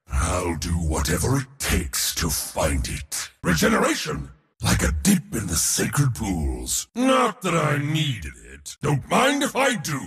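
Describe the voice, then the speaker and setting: An actor playing a boastful, battle-hungry god of war in a video game, with a pompous, theatrical swagger. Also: male voice, fairly high pitch, vocal fry, fairly bright tone, long reverb tail, full character voice. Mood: wistful, grandiose, then smug, cheerful. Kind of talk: video game character voice lines.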